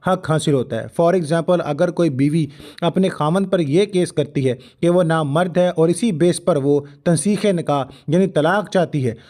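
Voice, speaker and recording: A man's voice: on the high side, unhurried, a little mellow. A man with a Pakistani accent giving an explanatory legal lecture, partly read out, in a formal manner with English terms mixed in. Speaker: law lecturer.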